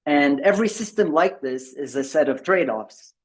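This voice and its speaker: A man's voice: fairly high pitch, lively intonation, normal speed. A man giving a tech presentation at a members' meeting over a video call. He talks with many fillers and restarts. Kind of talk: conference presentation.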